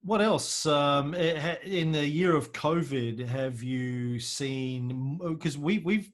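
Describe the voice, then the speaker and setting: A man hosting a casual podcast interview, speaking haltingly as he rephrases his questions. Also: fairly high pitch. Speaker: podcast host.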